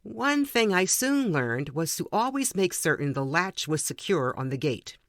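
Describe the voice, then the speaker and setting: A man's voice: a little high, sing-song, measured pace, a bit bright, no room sound, clean studio sound. A man reading a humorous personal story aloud as scripted narration. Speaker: reader of short stories for a podcast.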